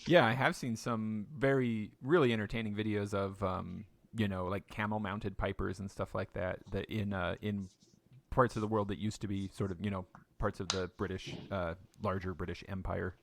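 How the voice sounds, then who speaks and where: A man's voice: on the low side, steady tone, moderate pace, creaky, a little hiss or hum. A man hosting a podcast who asks curious questions and slips in jokes. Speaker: podcast host. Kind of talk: casual interview chat.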